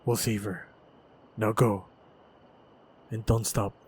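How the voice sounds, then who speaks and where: A man plays a protective fighter in a fantasy action roleplay, addressing the listener directly. His voice is on the low side and he takes his time, giving short phrases with pauses between them. The track is clearly noisy. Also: flat delivery, darkish tone. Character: steady protective male fighter guiding a princess. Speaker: voice actor in audio roleplay. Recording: noisy, effects-heavy track.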